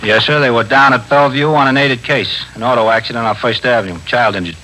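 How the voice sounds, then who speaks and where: An actor playing a deferential desk sergeant in a 1950s radio police drama, clipped and matter-of-fact. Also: male voice, mid-range voice, fast, pushed and bright, natural voice. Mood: dutiful, brisk. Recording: faintly noisy 1950s radio broadcast.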